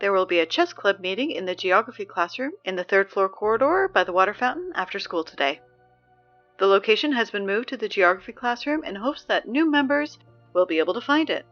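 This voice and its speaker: A woman's voice: mid-range voice, animated, brisk, lo-fi sound, soft background music, close to an everyday voice. A woman playing a sarcastic, exasperated teen announcer. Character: exasperated teenage girl reading school announcements. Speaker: school announcer. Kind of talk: audio drama reading school announcements.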